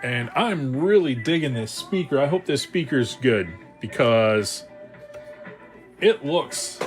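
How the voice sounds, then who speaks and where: A man's voice: medium pitch, animated, slow pace, faint music behind. A man talking casually and playfully, with enthusiasm, as he hosts a hands-on radio restoration vlog. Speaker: host of a radio repair channel.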